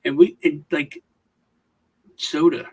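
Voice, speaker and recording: A man co-hosting a casual chat show, telling stories in a Southern American accent and jumping into high, loud voices when he mimics people. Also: fairly even intonation, takes it slowly, husky. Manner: storytelling, mimics voices with high-pitched bursts. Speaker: podcast co-host.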